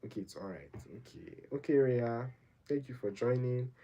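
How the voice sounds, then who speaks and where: A man's voice: mid-range voice, normal speed, mellow, muffled recording. A young Nigerian man hosting a live Q&A, giving earnest, rambling advice and often tagging his sentences with "right?". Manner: earnest, rambling, keeps adding "right?" and repeating himself. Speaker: livestream host answering viewer questions.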